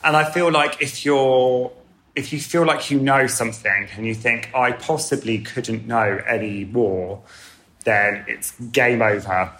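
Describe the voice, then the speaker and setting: A man from England, a guest telling animated stories about teaching abroad. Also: mid-range voice, measured pace, poor audio. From Southern England. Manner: animated storytelling with fillers. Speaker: guest, hair colour educator. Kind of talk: conversational interview.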